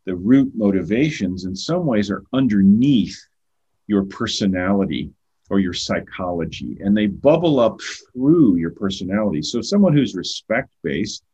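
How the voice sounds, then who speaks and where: A man's voice: on the low side, animated, moderate pace, breathy edge. An older man talking earnestly as a podcast guest, often repeating words as he starts a thought. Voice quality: loud voice. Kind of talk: conversational podcast talk. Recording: dull lo-fi sound.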